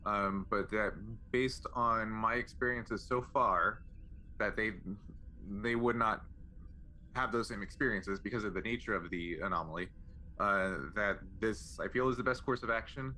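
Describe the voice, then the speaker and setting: A man with a lowish, quite bright and forward voice talks as a player in a tabletop game. He reasons aloud casually, pausing as he works things out. There is faint noise on the recording. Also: rough recording.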